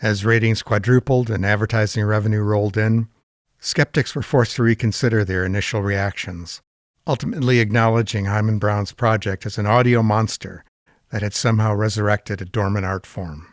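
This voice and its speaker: A man's voice: fairly low pitch, fairly even intonation, brisk, dry recording, clean studio sound. A man reading a scripted, informative introduction as a series presenter.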